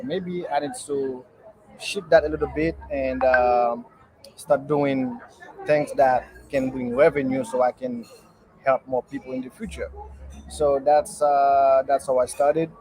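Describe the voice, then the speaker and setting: A young man from Haiti talks about his work as an entrepreneur in a halting, earnest way. He stops often and fills the gaps with small hesitations. He is speaking from a restaurant. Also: mid-range voice, a little mellow, low-quality recording. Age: young adult. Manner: halting, many fillers, earnest. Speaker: guest entrepreneur. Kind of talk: casual interview answers.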